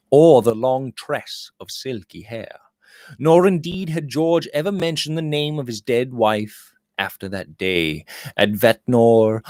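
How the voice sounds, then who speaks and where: A man's voice: mid-range voice, darkish tone, dry recording, clean studio sound. A man reading a literary novel aloud as scripted audiobook narration, with dramatic phrasing. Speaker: audiobook reader.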